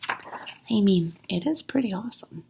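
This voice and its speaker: A woman reading a children's story aloud expressively, with dramatic pauses and hushed asides. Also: mid-range voice, expressive, gravelly, noisy background. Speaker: storybook reader, teacher.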